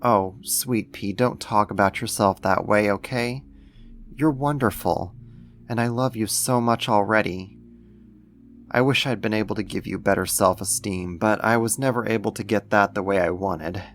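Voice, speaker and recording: A man playing a doting alien creator who soothes a human pet, affectionate and gently possessive, in an ASMR roleplay. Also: on the low side, normal speed, a little hiss or hum. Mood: tender, soothing.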